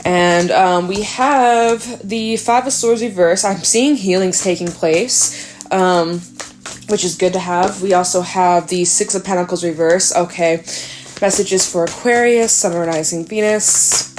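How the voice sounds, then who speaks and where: A woman's voice: fairly low pitch, a little slow, darkish tone. Chatty young woman reading tarot. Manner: chatty, rambling, many fillers like "um" and "like". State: stuffed up nose. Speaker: tarot reader. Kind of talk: casual tarot reading to camera.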